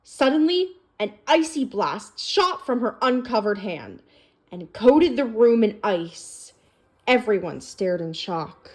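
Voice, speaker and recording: A woman reading a children's storybook aloud in an expressive storytelling manner. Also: mid-range voice, slow pace. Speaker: storybook reader.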